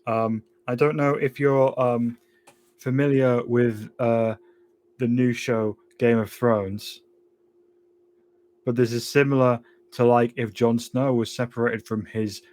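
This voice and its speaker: Male tabletop gamer, mid-pitched voice, dry deadpan delivery with halting phrasing. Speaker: player in a tabletop roleplaying game. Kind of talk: casual in-character and table talk.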